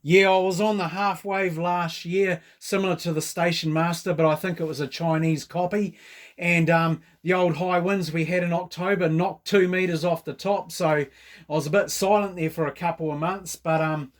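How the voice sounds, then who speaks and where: A man's voice: on the high side, steady tone, moderate pace. A man with a New Zealand accent chatting casually as a radio operator, informal and full of radio jargon.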